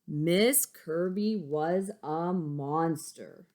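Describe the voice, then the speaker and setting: A woman teacher reading a picture book aloud to young pupils, expressive, with chatty asides. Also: deep voice, very slow. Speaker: elementary school teacher.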